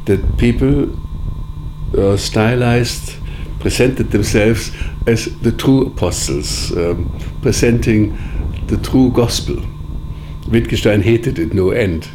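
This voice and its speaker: A man whose first language is Sorbian, answering interview questions in a measured, deliberate way with frequent pauses. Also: lowish voice, noisy background. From Germany. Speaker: interviewee, editor of philosophical writings.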